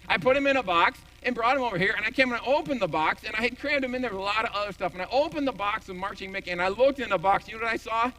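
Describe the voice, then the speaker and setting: Animated high-voiced pastor telling jokes. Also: male voice, rapid-fire, few pauses, low-quality recording. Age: 40s. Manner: animated, joking, self-deprecating storyteller with many restarts. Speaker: senior pastor. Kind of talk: conversational sermon with personal stories and jokes. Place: church sanctuary.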